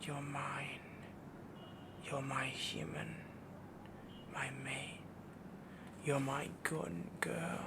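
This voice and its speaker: Man voicing a breathy, half-whispering possessive werewolf boyfriend. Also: mid-range voice, steady tone, extremely slow, long pauses, noisy background. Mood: tender, possessive. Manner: rambling, dominant, cooing endearments. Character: possessive, doting young male werewolf boyfriend. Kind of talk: soft-spoken intimate roleplay audio, ASMR.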